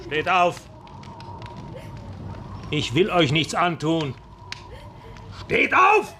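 An actor in a radio play voicing a feared, commanding castle lord who is tormented by doubt, with an imperious, brooding delivery. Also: male voice, a little high, wildly swooping pitch, extremely slow, bright, forceful tone, slight background noise, exaggerated voice. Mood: harsh, angry. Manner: imperious, barking orders, brooding when alone. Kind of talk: dramatic radio play acting.

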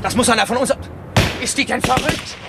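An actor playing an excitable junior police officer in a radio crime drama. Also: male voice, very high-pitched, brisk, gravelly, loud music behind the voice. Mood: alarmed, shouting. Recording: noisy outdoor scene, clicks, vehicles.

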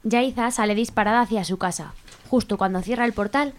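A woman's voice: fairly high pitch, fairly quick. A woman reading scripted narration for a radio soap.

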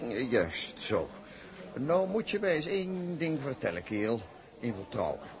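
An actor playing a nosy, patronising gentleman doctor in a period drama, pompous and confidentially insinuating. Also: mid-range voice, very animated, unhurried. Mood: confidential, prying. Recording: party crowd chatter behind.

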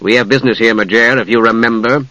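A man's voice: mid-range voice, fairly even intonation, fast, bright, forceful tone, dry recording, dull audio, lightly acted. A man voicing a cold, haughty, impatient knight in a fantasy audiobook, with a curt, formal, disdainful manner.

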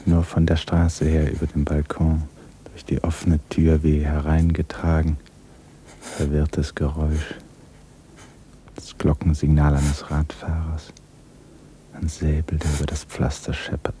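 A man narrating a literary radio drama in a measured, hushed, solemn and restrained manner. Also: extremely low pitch, completely flat delivery, a little hiss or hum.

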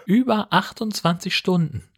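Man doing a caring young woman, easygoing, cooing, raised pitch, husky, radio play. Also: normal speed. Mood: concerned, teasing. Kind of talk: improvised podcast radio play of film dialogue.

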